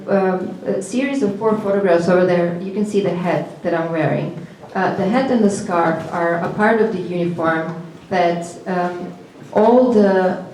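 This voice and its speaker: A woman from the former Yugoslavia whose first language is Serbo-Croatian, speaking as a panelist in a reflective, halting way. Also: medium pitch, darkish tone, a little hiss or hum. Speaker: panelist, performance artist. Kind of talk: panel discussion answer. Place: gallery event space. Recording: echoey gallery room.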